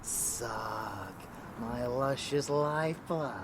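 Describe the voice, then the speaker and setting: Arrogant male vampire lover, ASMR roleplay. Extremely unhurried, theatrical, possessive delivery over clear background noise. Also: medium pitch. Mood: teasing, menacing. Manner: theatrical, teasing, breaking into whispers. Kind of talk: intimate ASMR audio roleplay.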